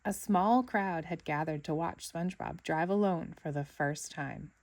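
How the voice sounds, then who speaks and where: A woman reading a children's storybook aloud in an expressive narrating style. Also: low voice, a little slow. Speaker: storybook reader.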